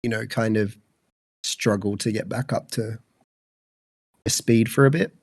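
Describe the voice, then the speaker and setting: A man's voice: on the low side, steady tone, measured pace, creaky, breathy edge, dry recording, studio quality. A man in his twenties with an Australian accent, co-hosting a podcast, sounding congested and fluey, rambling with many fillers. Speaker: podcast co-host. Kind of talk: casual chat.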